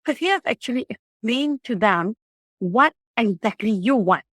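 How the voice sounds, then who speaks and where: A woman whose mother tongue is Tamil speaks in a fairly high voice, animated and earnest, often circling back to restart a thought. The sound is close and dry, with almost no room around it. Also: moderate pace, no echo. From Malaysia. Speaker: childcare center director. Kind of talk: conversational interview.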